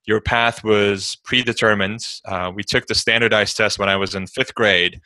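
A man from Turkey, a podcast guest answering in a measured way with frequent ums. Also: on the low side, fairly even intonation, pushed and bright, dry recording. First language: Turkish. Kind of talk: casual interview answer.

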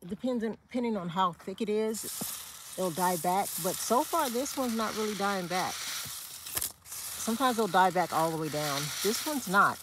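A woman giving a relaxed, unhurried walk-through of her desert garden, with fillers and self-corrections along the way. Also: fairly low pitch, background noise. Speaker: homesteader giving a garden tour. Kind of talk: casual walk-through narration.

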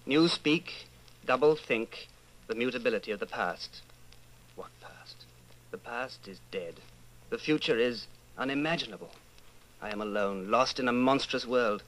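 An earnest, troubled man played by an actor with a mid-pitched voice. He sounds like a solitary secret rebel in a radio-style drama. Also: unhurried, a bit bright, natural voice, low-quality recording. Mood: brooding. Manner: earnest, brooding. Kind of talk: old time radio style drama acting.

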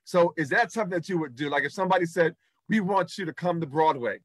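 A man's voice: a little high, expressive, fast, no room sound. A middle-aged man hosting an interview over a video call, effusive and laughing, repeating his praise. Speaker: talk show host. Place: indoors in front of a backdrop.

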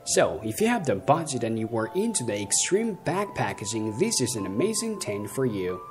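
Young male reviewer reading a script over soft music, lively intonation, odd stress. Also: mid-range voice, moderate pace. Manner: reads a script with uneven stress and slips. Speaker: product review narrator.

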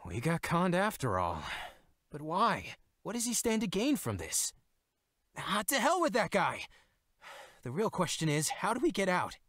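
An actor playing a brash, wry young nobleman in a fantasy game, with a casual, expressive delivery. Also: high voice, a little slow, no room sound, clean studio sound, full character voice. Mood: exasperated. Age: young adult. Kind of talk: fantasy video game voice acting.

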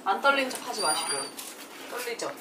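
A woman's voice: fairly high pitch, expressive, brisk, background noise. A young woman singer teasing a bandmate in a backstage dressing room, giggling often, recorded by a distant camera in a roomy space. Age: young adult. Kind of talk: playful teasing banter.

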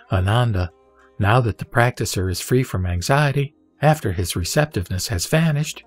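A man reading a Buddhist scripture aloud in a measured, steady recitation. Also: medium pitch, dry recording. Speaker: scripture reader. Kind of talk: solemn scripture reading over music. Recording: soft background music.

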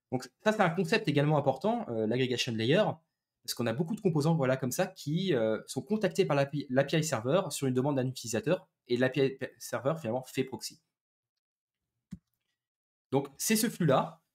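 A man's voice: medium pitch, darkish tone. A man gives an informal technical presentation over a video call on a headset, explaining step by step with frequent hesitations. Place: small room indoors, video call.

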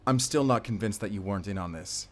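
A man's voice: on the low side, fast talker, close to an everyday voice. A man acting a wary, protective fighter on the run in an audio roleplay, questioning and urgent. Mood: suspicious, tense. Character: wary, suspicious fighter leading an escape, protective. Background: music.

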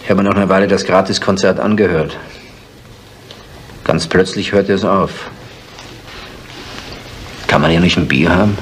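An actor playing an unconcerned, gossipy village neighbour in a German radio crime drama. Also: male voice, low-pitched, steady tone, unhurried, long pauses. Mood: nonchalant. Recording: faintly noisy 1970s radio play.

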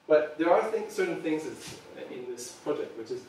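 A man's voice: a little high, very animated, normal speed, darkish tone, very echoey, a little hiss or hum. A man in his thirties from Serbia giving an earnest talk to a small audience, hesitating often with ums, recorded by a far camera in a meeting room. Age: 30s. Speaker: product designer giving a talk. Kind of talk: public presentation.

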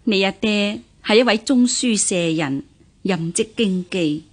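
An actress playing a stern but caring middle-aged mother in a historical radio drama, measured and dignified, with a Hong Kong Cantonese accent. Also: mid-range voice, lively intonation. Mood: grave, regretful. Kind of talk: radio drama acting in historical costume piece.

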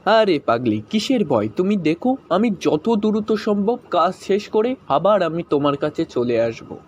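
A man's voice: high-pitched, very animated, a little slow, a little mellow, slight background noise. A man voicing a hapless cartoon husband and friend who spins explanatory tales in a comic skit, in dull lo-fi audio. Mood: reassuring. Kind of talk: cartoon joke skit dialogue.

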